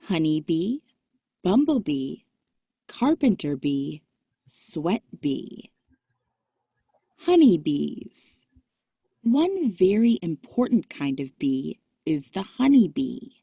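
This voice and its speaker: A woman with a lowish, soft-edged mellow voice narrates an educational children's book. She reads very slowly and carefully, with lively rises and falls, in a dry recording. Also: creaky, breathy edge, dry recording.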